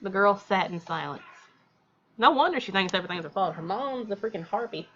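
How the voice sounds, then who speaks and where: A woman's voice: medium pitch, lively intonation, a little fast, a bit bright, highs cut off, slight background noise. A woman reading a visual novel aloud as a let's player, with informal, colloquial reactions along the way.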